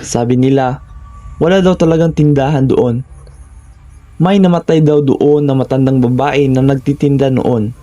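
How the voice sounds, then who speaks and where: A man narrating a scripted first-person horror story in short, measured phrases. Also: mid-range voice, mellow, faint music behind.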